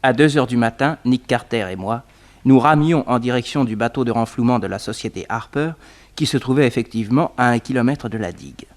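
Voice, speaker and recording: A man's voice: mid-range voice, brisk, close to an everyday voice. Man as eager detective's sidekick, telling adventures. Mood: eager, suspenseful. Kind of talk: 1960s radio serial scripted narration.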